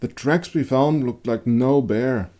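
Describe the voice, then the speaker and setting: Man performing village chief, male, worried, doubtful, hesitant, slow, raised pitch, fantasy story. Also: dark tone, natural voice. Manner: hesitant, uneasy. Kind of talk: dark fantasy audio story acting.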